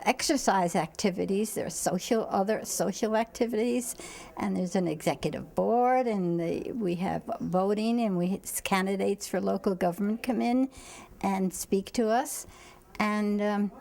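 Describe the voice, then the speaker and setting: A woman's voice: mid-range voice, gravelly, darkish tone. An older woman, a senior center member talking casually in an interview.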